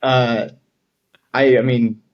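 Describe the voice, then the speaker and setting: A man's voice: mid-range voice, flat delivery, slow pace, highs cut off, a crowd in the background. An American man talking as a podcast guest in casual chat, easygoing, plain-spoken and loosely phrased. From Dayton, Ohio, USA. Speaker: podcast guest, air traffic controller.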